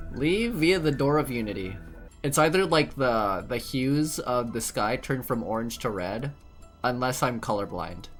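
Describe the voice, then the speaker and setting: A man's voice: medium pitch, a bit bright. A young man doing casual playthrough commentary, thinking aloud in informal, slangy speech. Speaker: gaming YouTuber playing and commenting. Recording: commentary over game music.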